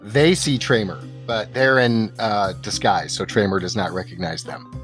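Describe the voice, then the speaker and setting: A man's voice: lowish voice, moderate pace, soft background music. A man narrating a tabletop fantasy game as game master, telling the scene descriptively to the players. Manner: descriptive second-person storytelling.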